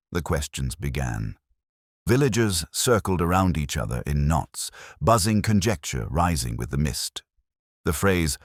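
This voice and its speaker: A man with an extremely low voice narrates a mystery story in a measured, literary way. His pitch moves in lively swings, and the sound is close and dry with no room on it. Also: dry recording.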